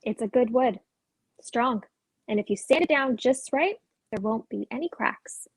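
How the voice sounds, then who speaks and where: Woman acting a guarded, husky-voiced younger woman. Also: on the high side, lively intonation, measured pace, no room sound, full character voice, poor audio. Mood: wistful, evasive. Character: guarded, conflicted sister defending her settled life. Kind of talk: dramatic play reading.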